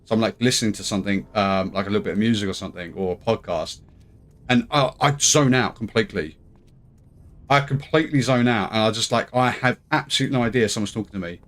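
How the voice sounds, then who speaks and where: A man's voice: on the low side, fast, a bit bright, a little hiss or hum. A man streaming a game and chatting casually, laughing often and jumping between thoughts. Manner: chatty, laughs easily, scattered. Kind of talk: casual chat on a live stream.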